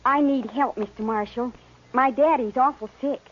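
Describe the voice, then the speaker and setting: High-voiced, blunt American frontier girl. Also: normal speed. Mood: pleading, relieved. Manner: blunt, childlike. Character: wary, plucky young frontier girl needing help. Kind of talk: 1950s radio western acting. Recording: lo-fi 1950s radio, faint noise.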